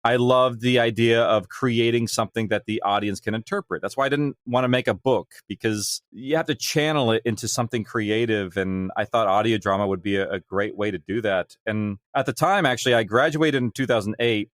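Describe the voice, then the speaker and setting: A man's voice: on the low side, fairly quick, hardly any pauses, a bit bright, no echo, clean studio sound. A man around his thirties or forties, explaining his creative work as a guest in an interview. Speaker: podcast guest, audio drama creator. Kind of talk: interview conversation, explanatory storytelling.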